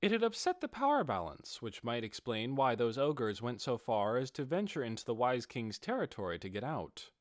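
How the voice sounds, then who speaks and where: Animated male audiobook narrator, very dry sound. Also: medium pitch, moderate pace, few pauses, dry recording. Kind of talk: scripted fantasy narration.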